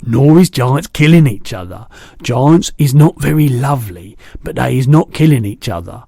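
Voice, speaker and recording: A man reading aloud and voicing a big, kindly male giant who mangles his words, in a louder and higher voice than his own. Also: expressive, moderate pace, gravelly, no room sound, clean studio sound. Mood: earnest. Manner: jumbled made-up words, booming and eager.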